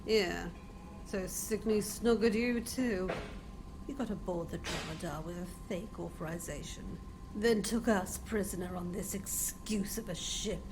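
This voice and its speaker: An actress playing a skeptical, bitter starship captain with a blunt, sardonic manner in an audio comic dub. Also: female voice, mid-range voice, unhurried, over music, lightly acted, low-quality recording.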